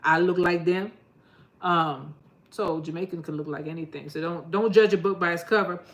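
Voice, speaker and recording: A woman's voice: fairly low pitch, fairly even intonation, moderate pace, highs cut off. A middle-aged woman from New York chatting casually to her gardening channel, rambling and laughing often. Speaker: gardening vlogger. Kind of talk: casual chat to camera.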